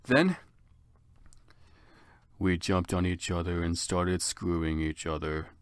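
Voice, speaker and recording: Theatrical storyteller with wildly swinging pitch. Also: lively intonation, takes it slowly, clean tone, no echo, studio quality. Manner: theatrical, mock-serious. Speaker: narrator of a fan fiction reading. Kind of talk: dramatic comedic reading aloud with asides.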